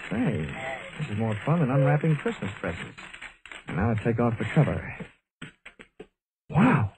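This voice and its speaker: A man's voice: mid-range voice, huge pitch swings, noisy background. An actor playing a sardonic, hard-boiled male investigator in an old-time radio detective drama. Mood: wry. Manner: sardonic, brisk storytelling. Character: wry, hard-boiled male investigator narrating his case.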